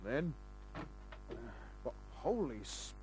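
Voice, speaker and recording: An actor playing a cool, sardonic private detective in an old-time radio crime drama. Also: male voice, medium pitch, very animated, extremely slow, over music, low-quality recording. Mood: tense narration. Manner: dry, sardonic, unruffled.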